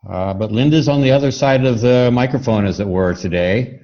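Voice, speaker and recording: A man's voice: mid-range voice, brisk, warm tone, dull audio. A man hosting a podcast, introducing the show and talking conversationally with a guest. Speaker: podcast host.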